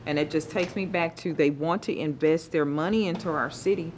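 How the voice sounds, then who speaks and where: Animated woman at a meeting. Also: sits low, fairly quick, a little hiss or hum. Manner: animated, informal, uses y'all. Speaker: planning commission member. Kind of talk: public meeting discussion. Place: city council chamber.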